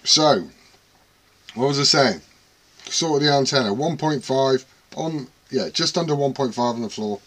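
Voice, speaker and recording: A man's voice: mid-range voice, a little slow, fairly bright tone, slight background noise. A British man vlogging casually from his radio shack, rambling, swearing freely and poking fun at himself. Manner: sweary, self-deprecating, rambling. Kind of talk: casual rambling chat to camera.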